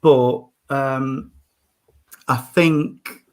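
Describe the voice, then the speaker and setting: A man, a trustee, talking slowly and hesitantly in a casual group chat, with many ums and restarts. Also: medium pitch, fairly even intonation. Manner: slow, hesitant, with many fillers. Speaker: trustee on a panel.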